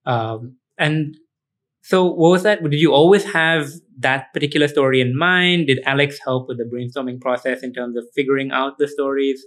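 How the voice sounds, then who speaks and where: A man from India hosting a podcast, asking quick, probing questions and encouraging his guest. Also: medium pitch, a bit bright. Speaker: podcast host. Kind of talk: conversational interview.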